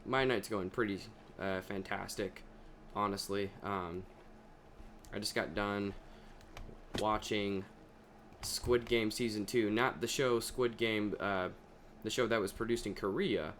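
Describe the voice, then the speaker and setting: A young man chatting casually over his gameplay, his voice deeper than usual and talking to himself as he plays. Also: steady tone, slow, fairly bright tone, slight background noise. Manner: chatty, talks to himself while playing. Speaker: gaming video creator.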